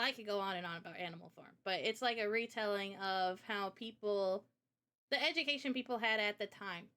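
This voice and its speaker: A woman streamer hosting a casual live chat, talking in an animated, opinionated way with rambling asides. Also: medium pitch. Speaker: streamer and host.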